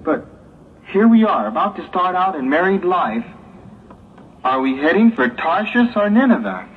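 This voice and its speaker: Guy acting as earnest young engaged man in an old religious radio play, higher voice, tinny sound. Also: very animated, moderate pace. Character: earnest engaged young man soberly weighing his plans. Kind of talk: 1960s religious audio drama acting. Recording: muffled, hissy 1960s tape, phone-like.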